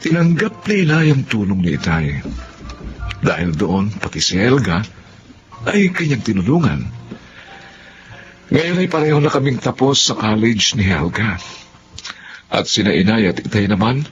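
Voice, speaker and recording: A grateful young Filipino man narrating his life in a radio drama. The voice is fairly low and lively in pitch, recorded a little dull, with music behind. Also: a little slow, long pauses. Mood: relieved, reflective. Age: young adult. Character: grateful grown son telling his life story.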